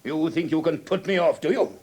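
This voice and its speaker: An actor playing a burly, violent-tempered English doctor who blusters and threatens, in a radio drama. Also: male voice, a little high, fairly quick, a little mellow, lightly acted. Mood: threatening, contemptuous.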